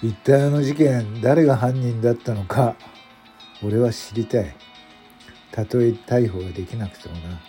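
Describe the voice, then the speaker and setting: A man playing a regretful veteran police detective in a radio drama, musing in gruff, terse phrases. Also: medium pitch, moderate pace, warm tone, faint music behind, natural voice. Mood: brooding.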